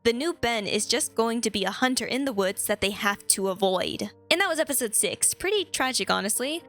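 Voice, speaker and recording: Chatty woman with a higher-pitched voice. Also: a bit bright. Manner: chatty, conversational asides. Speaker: video host. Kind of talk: casual scripted story recap and commentary.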